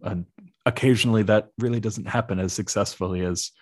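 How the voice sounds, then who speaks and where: A man with a low voice, co-hosting a podcast and chatting earnestly about musical theater. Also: fairly even intonation, fairly quick, a little mellow, no room sound, studio quality. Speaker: podcast co-host.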